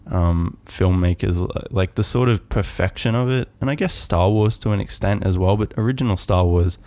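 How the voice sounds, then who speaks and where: Low mellow guy rambling calmly on his own, lots of likes and you knows, dry sound. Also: no room sound. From Australia. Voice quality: calm, soft voice. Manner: rambling, many fillers and restarts. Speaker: podcast host. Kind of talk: casual solo musing.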